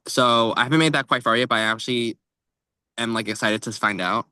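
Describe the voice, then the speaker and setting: A man with a bright, clear-edged voice co-hosts a book podcast. He talks casually and informally, feeling his way through phrases with plenty of "like". The sound is close and dry, at an average male pitch. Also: steady tone, a little fast, no room sound. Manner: informal, tentative phrasing with frequent "like". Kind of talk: casual chat about books.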